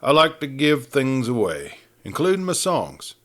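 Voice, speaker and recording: A man's voice: mid-range voice, huge pitch swings, a little slow, a bit bright, voice pushed up. A man voicing a breezy, good-natured but proud cowboy prisoner, bantering in folksy Western talk. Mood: cheerful, teasing.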